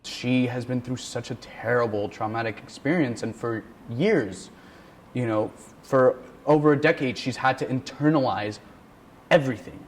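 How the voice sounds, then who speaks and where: A man speaks in reflective interview answers, pausing to find his words at a middle pitch. Faint noise hangs behind him. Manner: thoughtful, pausing with ums. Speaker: student director interviewee.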